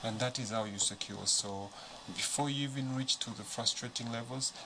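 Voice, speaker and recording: A man's voice: medium pitch, moderate pace, very noisy. A man, a studio guest, giving earnest advice. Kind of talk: studio discussion.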